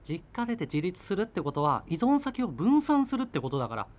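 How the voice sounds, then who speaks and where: Defensive thirty-something slacker boyfriend, lively pitch. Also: male voice, brisk. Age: 30s. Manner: defensive, rambling self-justification. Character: evasive, argumentative thirty-year-old freelancer boyfriend living with parents. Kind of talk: naturalistic radio drama dialogue.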